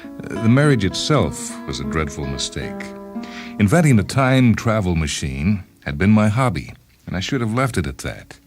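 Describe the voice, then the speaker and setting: A man's voice: sits low, very animated, normal speed, music in the background, pitched above the performer's own voice. A man reading as a disgruntled, self-justifying inventor, wry and fussily verbose, in a first-person short story. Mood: rueful.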